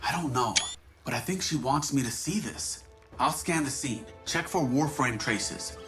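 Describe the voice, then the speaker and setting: A man's voice: medium pitch, lively intonation, a little slow, close to an everyday voice. Casting: male actor as earnest, resolute young operator for a game, medium voice, roomy echo over music. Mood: searching, serious. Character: serious, determined young male operator commander. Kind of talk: video game character acting. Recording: echoey, music bed behind.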